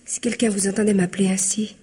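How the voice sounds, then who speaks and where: A woman's voice: mid-range voice, flat delivery, fast talker, mellow, a little hiss or hum, natural voice. A woman playing an earnest young secretary, guarded, proper and anxiously insistent, in a vintage French radio mystery.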